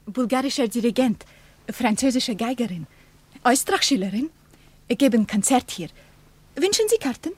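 A woman's voice: on the high side, expressive, normal speed, long pauses, a little mellow, husky. An actress playing an eager young woman guide who speaks in broken, simple phrases, in an old radio play. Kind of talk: 1950s radio play acting.

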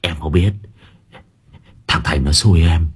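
A man's voice: very deep voice, takes it slowly, husky, pitched below the performer's own voice, heavily acted. A man voicing a chubby, cowardly young village man who squeals and panics. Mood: pleading.

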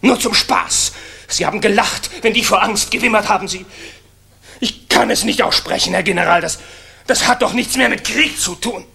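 An actor playing an idealistic, disillusioned young German officer in a 1960s radio play, earnest, halting and intense. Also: male voice, high voice, vocal fry, sharp tone, slightly rough, heavily acted. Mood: anguished, indignant.

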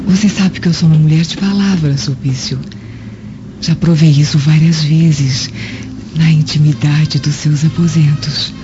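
A woman's voice: sits low, fairly even intonation, unhurried, background noise. An actress playing a scheming, seductive Roman noblewoman in a radio drama, with an insinuating, calculating manner. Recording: muffled lo-fi audio with music.

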